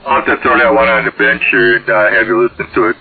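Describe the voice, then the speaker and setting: A man's voice: medium pitch, flat delivery, fast, no room sound. A man chatting casually over CB radio in a hesitant, folksy way. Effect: radio transmission. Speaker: CB radio operator. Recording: tinny, band-limited CB radio signal.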